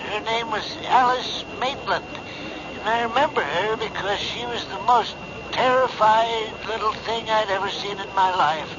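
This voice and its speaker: An actor playing a polite middle-aged man on a phone call in a 1970s radio drama, halting and deferential as he rambles. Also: sits high. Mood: earnest, hesitant. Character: polite, hesitant middle-aged male caller remembering. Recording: dull noisy radio recording, phone-call scene.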